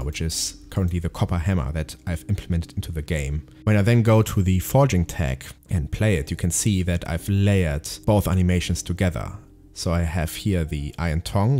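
A man with a fairly low, mellow voice walks through his game-building work in a steady, explanatory tone. It sounds like a scripted devlog voice-over, with soft music faintly underneath. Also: normal speed. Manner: calm, explanatory, methodical. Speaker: indie game developer.

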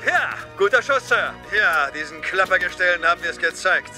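An actor playing a brisk, loyal clone soldier with a confident, casual military manner. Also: male voice, high-pitched, lively intonation, music in the background. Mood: pleased.

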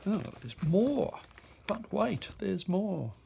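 A New man talks slowly through a stack of old postcards, reading each caption aloud in short, unhurried bursts. His voice has a gravelly, creaky edge. A faint hiss sits under the desk recording. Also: high voice, very animated, warm tone. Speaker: auction presenter. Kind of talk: reading out postcards while browsing. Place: indoor office desk.

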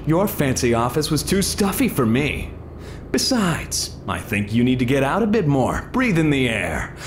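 A man's voice: medium pitch, sing-song, unhurried, slight background noise. A man playing a smug, taunting pyromaniac villain in an audio drama, mocking and menacingly casual.

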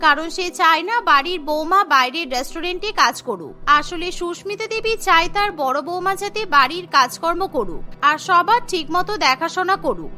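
A woman's voice: very high-pitched, pushed and bright. A woman narrating a scripted cartoon story.

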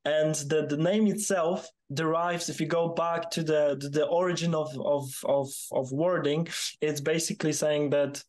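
Young male interviewer, Polish accent, slightly high voice with little pitch movement, hesitant phrasing. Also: steady tone, normal speed, a little mellow. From Poland. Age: 20s. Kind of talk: conversational video interview. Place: small room, indoors, video call.

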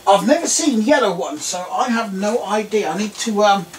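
An English man in his thirties with a croaky voice, chatting casually and rambling with lots of ums while showing computer parts at home. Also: high voice, moderate pace, recorded from a distance. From England. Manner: rambling, relaxed, frequent ums. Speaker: tech vlogger. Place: small home room indoors. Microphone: camera mic at a distance. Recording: faint room noise, voice a bit off-mic.

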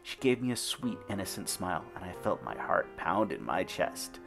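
A man's voice: low voice, sing-song, moderate pace, gravelly, a bit bright, soft background music. A man giving a wry, theatrical first-person reading of his own fan fiction. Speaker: narrator.

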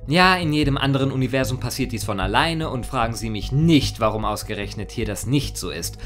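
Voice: mid-range voice, lively intonation, brisk, soft background music, natural voice. A performer voicing an immortal, mischievous chaos creature in a theatrical fan audio monologue, mock-formal, sardonic and playfully rambling. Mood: mocking, explaining. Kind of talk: theatrical first-person character monologue in a fan audio story.

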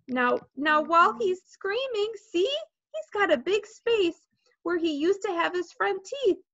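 A woman's voice: very high-pitched, lively intonation, a little slow, fairly bright tone, highs cut off, voice pushed up, heavily acted. A woman voicing an alarmed school-age boy in a storybook, urgent and blunt. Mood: insistent.

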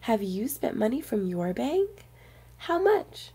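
High, mellow, slightly husky woman reading storytime. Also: big pitch swings, slow. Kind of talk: picture book read-aloud for young children.

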